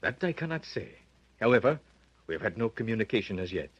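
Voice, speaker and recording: Deepish, husky, formal captain. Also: male voice, very animated, quick pace, dry recording. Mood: courteous, official. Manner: formal, clipped, businesslike. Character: courteous, official male ship or port captain. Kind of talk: 1930s radio drama acting. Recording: dull, muffled vintage radio recording.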